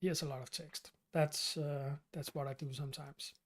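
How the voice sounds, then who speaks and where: A man from Denmark with a Danish accent hosts his channel's live stream Q&A. He talks in a halting way, with many fillers and restarts. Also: on the high side, fairly even intonation. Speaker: channel host.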